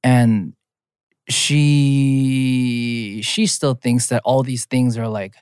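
A man's voice: mid-range voice, fairly even intonation, drawn-out words, a little mellow, clean tone, no echo. Laid-back young man in a dry room. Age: 20s. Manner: candid, laid-back, says "like" a lot. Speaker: podcast co-host. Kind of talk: casual chat.

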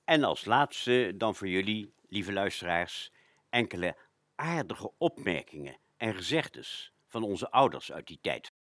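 Lowish, lively-voiced man. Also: a little slow, dry recording, clean studio sound. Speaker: radio presenter. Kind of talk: telling anecdotes on a local radio show.

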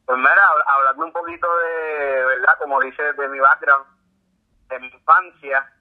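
A man from Puerto Rico with a Puerto Rican accent, a guest telling his story calmly, with many fillers. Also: fairly high pitch, moderate pace. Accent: Puerto Rican Spanish. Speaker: guest, ultramarathon swimmer. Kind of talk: casual interview. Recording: tinny phone-like call audio.

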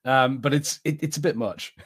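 A man's voice: medium pitch, moderate pace, husky. A man co-hosting a podcast, chatting casually in a hesitant, rambling way. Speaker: podcast co-host. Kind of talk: casual chat, reading out viewer comments.

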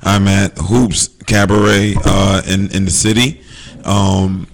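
A man's voice: low-pitched, level tone, slow pace. A man co-hosting a podcast as a DJ, easygoing and laughing often, with a powerful voice. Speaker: DJ and podcast co-host. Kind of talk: casual podcast chat.

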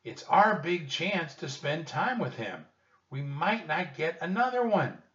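A man's voice: on the high side, sing-song, a little slow, a bit bright, roomy sound, pitched above the performer's own voice. A man voicing an eager, adventurous young girl in a children's storybook, pleading and enthusiastic. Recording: dull, lo-fi, distant microphone.

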